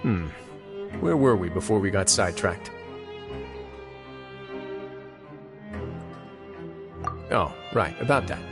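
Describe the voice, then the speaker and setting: An actor playing a composed, authoritative duke in a video game, speaking in a measured, self-assured, thoughtful way. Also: male voice, low-pitched, lively intonation, over music. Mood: casual, assured.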